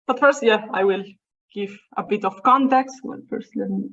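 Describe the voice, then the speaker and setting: A woman's voice: medium pitch, normal speed, fairly bright tone. Young Spanish-accented woman giving a talk. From Spain. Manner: chatty, informal storytelling. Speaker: seminar speaker. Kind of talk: academic talk over a video call. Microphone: video call. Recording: muffled video call audio.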